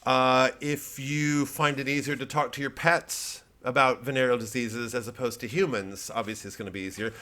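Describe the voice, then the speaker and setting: A man who works as a comedian, talking in a casual, rambling vlog monologue with a deadpan delivery. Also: mid-range voice, moderate pace, hardly any pauses. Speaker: comedian promoting his live show.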